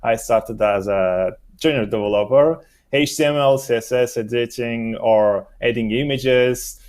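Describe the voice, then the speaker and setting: A young adult man whose first language is Romanian, answering interview questions in a halting way while he searches for words. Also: medium pitch, darkish tone, clean tone. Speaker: guest, SEO expert.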